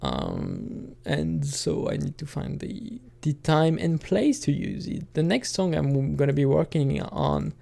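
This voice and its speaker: A man talking casually on his own channel in a hesitant, halting way, thinking out loud as he goes. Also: on the high side, huge pitch swings, vocal fry. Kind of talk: casual rambling solo talk.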